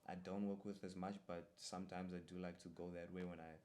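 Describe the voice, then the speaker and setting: A young man from Zimbabwe, a guest artist in a casual interview, talking in a soft-spoken, relaxed and easygoing way. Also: low-pitched, a little fast.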